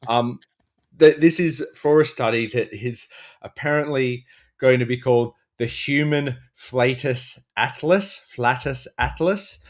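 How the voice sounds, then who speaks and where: A man's voice: mid-range voice, unhurried. A man on a comedy podcast panel, riffing on the news in a mock-earnest manner.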